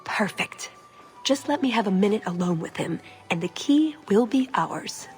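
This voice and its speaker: A woman voicing a quick-witted, scheming young elf in a video game cutscene. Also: medium pitch, expressive, darkish tone, faint music behind, lightly acted. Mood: conspiratorial.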